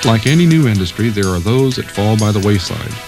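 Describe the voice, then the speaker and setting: A man's voice: fairly low pitch, lively intonation, fairly quick, music in the background. A man reading scripted documentary narration as the narrator.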